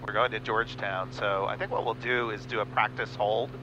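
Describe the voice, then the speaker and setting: A man piloting a small plane, talking casually and haltingly over a headset in the cockpit. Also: fairly low pitch, fairly even intonation. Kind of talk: casual cockpit chat with radio calls. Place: small airplane cockpit in flight. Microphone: aviation headset. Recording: muffled headset audio, clear cockpit noise. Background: engine hum and radio traffic.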